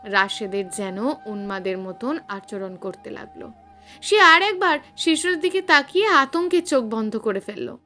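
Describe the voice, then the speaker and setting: A woman's voice: a little high, big pitch swings, a little slow, bright, forceful tone, soft background music. A woman narrating a scripted suspense story in a dramatic, emphatic storytelling manner.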